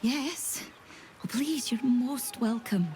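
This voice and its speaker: A woman's voice: fairly high pitch, slow, a little mellow, slight background noise. An actress playing a polite, devout temple servant in a video game, courteous and reverent in her speech. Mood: flustered, welcoming. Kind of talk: video game dialogue acting.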